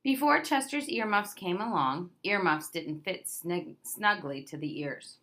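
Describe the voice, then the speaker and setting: A woman reads a nonfiction picture book aloud to children. Her voice sits a little low but is clearly bright and forward. She tells it expressively, with emphatic stresses as if addressing young listeners directly. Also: expressive, measured pace. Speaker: read-aloud presenter.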